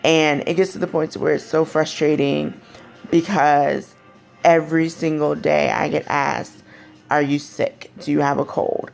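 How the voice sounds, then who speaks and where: A young woman with a raspy, deep voice, speaking candidly in a personal vlog. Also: fairly even intonation, a little slow, darkish tone, faint music behind. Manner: candid, frank and conversational. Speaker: vlogger. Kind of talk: personal storytelling to camera.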